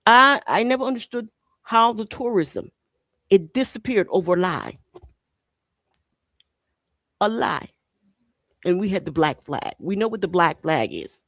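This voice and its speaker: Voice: mid-range voice, lively intonation, a little slow, pauses often, fairly bright tone, no echo, studio quality. A podcast host in their forties, originally from Haiti, delivering an impassioned monologue full of rhetorical questions and repeated phrases.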